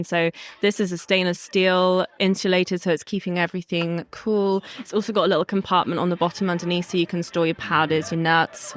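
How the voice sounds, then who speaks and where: A young woman representing a brand, pitching products fluently and upbeat in a trade show interview. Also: a bit bright. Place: busy trade show hall.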